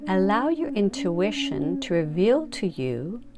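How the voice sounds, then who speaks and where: A woman leading a guided meditation, giving soft, unhurried instructions to relax and imagine. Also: mid-range voice, very animated. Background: music.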